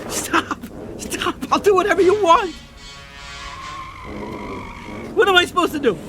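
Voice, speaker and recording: An actor playing a nervous, guilt-ridden husband in a radio drama, speaking in an agitated, emphatic way. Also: male voice, very high voice, a little fast. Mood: desperate, pleading.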